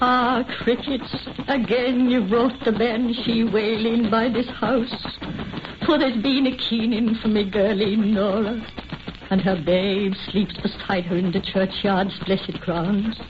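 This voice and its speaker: A woman's voice: fairly high pitch, fairly even intonation, slow, over music. An actress playing a lonely, superstitious old Irish mother with a lilting, theatrical delivery in an old-time radio horror drama. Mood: mournful, resigned. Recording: dull, noisy old radio recording.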